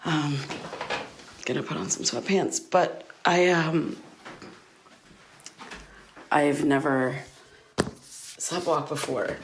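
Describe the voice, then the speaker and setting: Sleepless, anxious young woman, audio drama diary. Low register, naturalistic, hesitant and rambling delivery. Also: slow pace, long pauses, noisy background. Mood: shaken, rambling. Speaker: lead actor in a fiction podcast.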